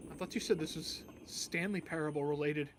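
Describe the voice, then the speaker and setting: A man's voice: fairly high pitch, brisk, a little hiss or hum. A man co-hosting a gaming channel, chatting casually during a game playthrough.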